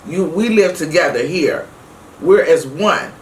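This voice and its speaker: A middle-aged woman telling a personal story casually to her viewers, emphatic and animated, acting out the dialogue as she goes. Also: low-pitched, a little slow. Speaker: vlog host. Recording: roomy, distant mic with faint noise.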